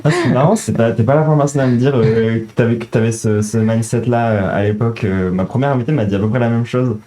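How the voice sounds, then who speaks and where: Male show host, informal filler-laden chat, mellow voice. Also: medium pitch, quick pace. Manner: chatty, filler-heavy. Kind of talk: casual interview hosting.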